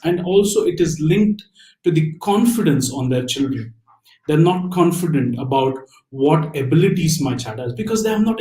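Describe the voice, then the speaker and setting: A man answering as a parent on a discussion panel, haltingly and with repeated words. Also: fairly high pitch, darkish tone. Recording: echoey room sound.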